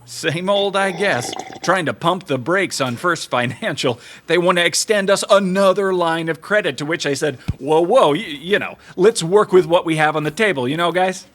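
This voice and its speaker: An actor playing a nervous, earnest, overeager small-business marketer in an audio drama, hesitant, pleading and prone to stammering. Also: male voice, on the high side, moderate pace, hardly any pauses, fairly bright tone, natural voice. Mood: anxious, placating.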